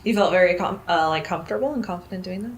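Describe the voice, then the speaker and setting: A woman interviewing someone casually, asking curious questions with brief reactions and hesitant pauses. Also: fairly low pitch.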